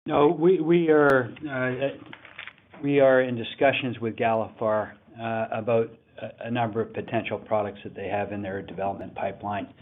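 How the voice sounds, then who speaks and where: A male company executive giving halting, measured, unscripted answers on an investor call. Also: medium pitch, level tone. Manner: halting, measured, frequent pauses. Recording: tinny phone line.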